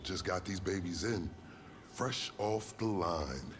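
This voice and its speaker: A low-voiced, smug, wordy police schemer. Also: male voice, slow, noisy background. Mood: sly, pleased. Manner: formal, verbose, sly. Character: smug, wordy, scheming male corrupt-fighting police officer. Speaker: police officer giving criminal jobs. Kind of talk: scripted video game cutscene acting. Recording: loud music behind the voice. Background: loud music.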